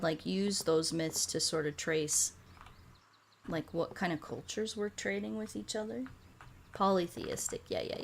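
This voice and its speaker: A woman from the United States chatting casually as a streamer, animated and rambling with a salty tongue. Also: fairly low pitch, vocal fry, a little hiss or hum. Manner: animated rambling, frequent swearing. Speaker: streamer chatting with viewers during a puzzle game. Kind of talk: casual livestream chat.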